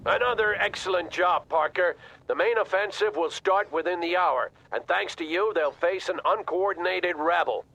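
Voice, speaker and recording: An actor playing a gruff, exasperated colonel giving curt orders by radio in a war video game. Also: male voice, sits high, moderate pace, bright, forceful tone, heavily acted, rough recording. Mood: approving. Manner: curt, authoritative. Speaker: military commander. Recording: battle noise over the voice. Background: gunfire, artillery and vehicles.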